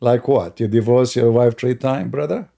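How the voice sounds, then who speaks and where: Guy chatting loosely, lots of "you know"s, warm mellow tone. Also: mid-range voice, normal speed, creaky. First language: Arabic. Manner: loose, talky storytelling with non-native grammar and frequent fillers. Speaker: commentator. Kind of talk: casual commentary while showing a video on screen.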